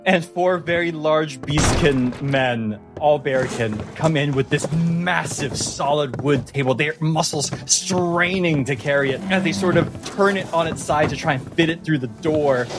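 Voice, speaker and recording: A man's voice: a little high, unhurried, hardly any pauses. A man improvising scene narration as game master of a tabletop fantasy game. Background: background music.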